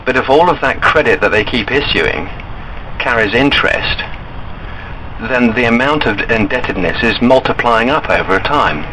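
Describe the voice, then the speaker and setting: A man calling an enquiry line and arguing his points in an earnest, insistent, halting way. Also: medium pitch, expressive. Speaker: caller to a bank enquiry line. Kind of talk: argumentative phone discussion. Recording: recorded phone call with background noise.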